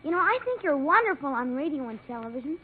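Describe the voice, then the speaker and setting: Very high-pitched cheeky little boy. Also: very animated, fast talker. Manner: slangy, gushing. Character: eager, cheeky ten-year-old boy autograph hunter. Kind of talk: 1950s radio comedy acting. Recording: tinny, hissy 1950s radio recording.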